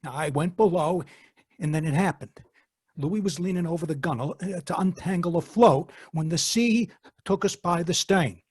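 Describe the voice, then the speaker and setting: A man plays a frightened fisherman accused in a radio play. His voice is high and husky, earnest and stammering. The recording is very dry. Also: moderate pace, a little mellow, no room sound. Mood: anguished, earnest. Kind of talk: old-time radio drama acting.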